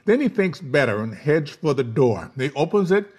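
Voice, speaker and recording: A man's voice: on the high side, sing-song, moderate pace, a little mellow, husky. A man reading out stage directions as narrator of a live script table read.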